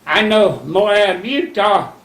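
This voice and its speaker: A man in his nineties slowly recounting old memories in a folksy, rambling way, using plain rural grammar. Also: high voice, animated, a bit bright, roomy sound. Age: 90s. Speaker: interviewee. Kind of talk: rambling recollection.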